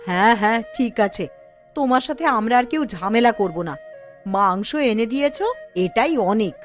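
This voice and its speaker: Actress as cartoon village mother, high voice, very animated pitch, nagging, exclamatory, fussing. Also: normal speed, faint music behind, lightly acted. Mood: brisk. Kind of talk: Bengali animated cartoon story dialogue.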